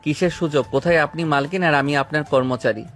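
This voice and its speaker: A man's voice: on the high side, quick pace, a bit bright, lightly acted. A man acting a proud, hurt young bodyguard, formal and aloof, in a romantic audio story. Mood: cold, bitter. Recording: music behind the voice.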